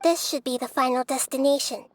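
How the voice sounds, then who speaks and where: A high, youthful voice, recorded dry and close. It is a small, wise deity of dreams in a video game. She explains things patiently and precisely, with gentle composure. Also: fairly even intonation, dry recording. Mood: calm, explaining. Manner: measured, explanatory, articulate. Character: wise gentle young girl deity of dreams, calm and thoughtful.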